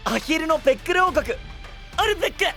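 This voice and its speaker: An actor playing an excitable, naive, boyish young male knight with exuberant casual speech in an anime-style voice drama. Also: very high voice, quick pace, gravelly, soft background music, full character voice. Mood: spirited.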